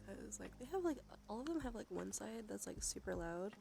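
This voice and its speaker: A woman speaking softly and unhurriedly in a chatty ASMR livestream style, often slipping into a breathy whisper, close to the microphone. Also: medium pitch, very animated, a little fast, noisy background. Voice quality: breathy whispery delivery with creaky fry. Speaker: streamer. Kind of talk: soft-spoken ASMR livestream, drifting into whispers. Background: music.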